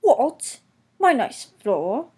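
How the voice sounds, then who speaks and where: A woman's voice: sits high, big pitch swings, drawn-out words, dark tone, slightly rough, voice pushed up, full character voice. A woman voicing a put-upon but helpful male train guard in a children's storybook, grumbling and exclaiming.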